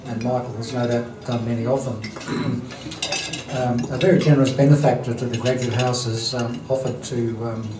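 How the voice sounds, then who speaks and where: Male host, hesitant and halting, constant ums, roomy hall heard from afar over clinking dishes. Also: mid-range voice, little pitch movement, background noise. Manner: hesitant, many ums and throat clearing. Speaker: event host introducing a speaker. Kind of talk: halting formal introduction at a lunch. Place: dining hall at a lunch event. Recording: roomy hall, distant mic, clattering dishes.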